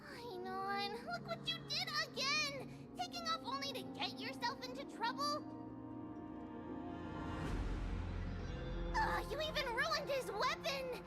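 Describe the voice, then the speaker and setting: Squeaky, bossy little companion. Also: female voice, lively intonation, moderate pace, hardly any pauses. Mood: scolding, exasperated. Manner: exclamatory, chiding, playful. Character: tiny, perky, bossy childlike companion who scolds. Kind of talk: scripted video game character acting. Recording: loud game music behind the voice. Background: game music.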